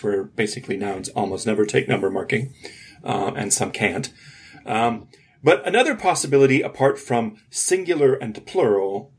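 A man's voice: medium pitch, breathy edge. A man co-hosting a podcast, explaining technical ideas step by step in an informal discussion. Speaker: podcast co-host.

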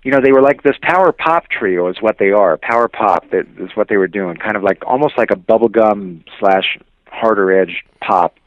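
A man's voice: on the low side, normal speed, no room sound. Dull-sounding recording of a casual man. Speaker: interview guest. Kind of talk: casual music commentary.

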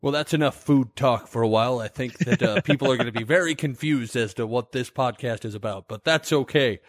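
A man's voice: mid-range voice, fairly quick, dry recording. A man hosting a podcast, asking a guest long, wandering questions in a conversational way. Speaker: podcast host. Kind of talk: casual interview.

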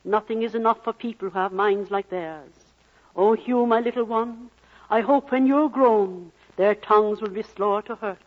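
A woman's voice: mid-range voice, moderate pace, natural voice. A middle-aged woman acting a plain-spoken, loving miner's wife and mother in an old radio drama. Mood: sorrowful. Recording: dull old 1940s radio recording.

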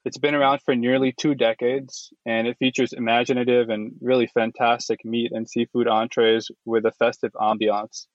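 A man's voice: medium pitch, level tone, moderate pace, few pauses, no room sound, lo-fi sound. A young American man speaking as a podcast guest, giving prepared introductions. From Houston, United States. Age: young adult. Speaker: podcast guest, cardiology fellow.

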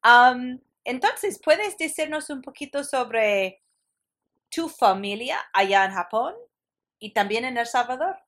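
Lively woman host asking slow questions. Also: a little high. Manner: lively, expressive intonation, slow deliberate questions. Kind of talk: interview hosting and scripted intro.

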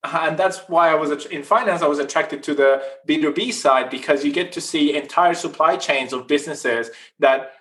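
Podcast guest: man in his forties from Chile, earnest and long-winded, pitched a bit high. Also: moderate pace, hardly any pauses, a bit bright. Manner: earnest, long winding answers. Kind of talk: casual podcast interview.

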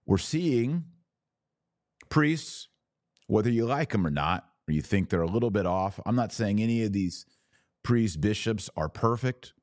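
A man hosting a podcast and giving live opinion commentary in deliberate, emphatic short phrases. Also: fairly low pitch, expressive, a little mellow, no room sound, clean studio sound.

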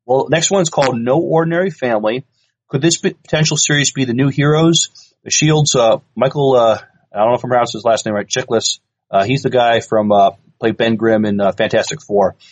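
A man co-hosting a podcast, casually reading news items aloud in a halting, stumbling way. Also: medium pitch. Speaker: podcast co-host.

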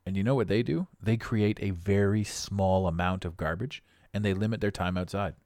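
A middle-aged man with a raspy voice, hosting a podcast with a blunt, preachy motivational monologue. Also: deep voice, moderate pace, a little mellow, no echo, studio quality. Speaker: podcast host.

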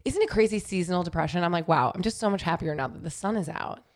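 A young woman with a naturally raspy voice chats loosely on a couples podcast. Her voice sits on the low side, and she teases her husband and laughs easily as she talks. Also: fast. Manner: teasing, laughs often, swears casually. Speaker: podcast co-host. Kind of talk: casual couple chat.

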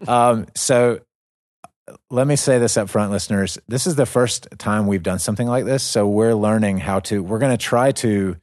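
A man's voice: fairly low pitch, moderate pace, a little mellow, no echo, studio quality. A man co-hosting a casual podcast, talking eagerly and often restarting his sentences. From United States. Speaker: podcast co-host.

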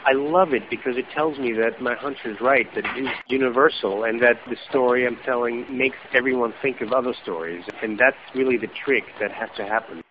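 A man's voice: medium pitch, brisk, hardly any pauses, noisy background. Reflective German-native man, muffled radio audio. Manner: reflective, thinks aloud with "you know" asides. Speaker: author, interview guest. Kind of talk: radio interview conversation.

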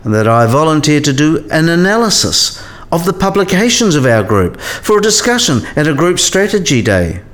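A man playing a cynical, sidelined professor in a radio play, explaining things in a wry, didactic way with measured phrasing. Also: a little high, very animated, a bit bright. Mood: matter-of-fact. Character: cynical, sidelined male professor giving career advice.

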